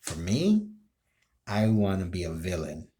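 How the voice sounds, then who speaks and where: A man's voice: sits low, very animated, unhurried, warm tone. A man talking casually and informally to viewers about his own role play, rambling a little. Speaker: amateur role-play video creator.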